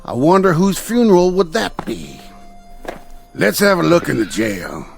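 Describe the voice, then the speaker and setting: A gleefully cruel frontier peddler in a radio drama. His voice is high and very gravelly, swooping through slow, sing-song taunts like a salesman enjoying torment. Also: wildly swooping pitch, faint music behind, exaggerated voice. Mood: nosy, jeering. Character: loud, gleefully cruel peddler in a Western town. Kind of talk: old-time radio drama acting.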